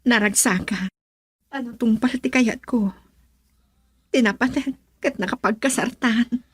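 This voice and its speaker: A woman's voice: a little high, unhurried, slightly rough, dry recording, studio quality. A woman playing a headstrong, insistent young bride-to-be who defies her father in an Ilocano radio drama. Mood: defiant.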